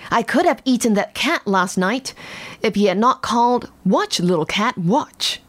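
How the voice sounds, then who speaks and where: A deadly serpent, as a woman reading a bedtime story puts it on, slow and menacing as it hisses its threats. Its voice stays close to the reader's own gentle, unhurried tone. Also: a little high, animated. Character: deadly hissing serpent, cruel and murderous.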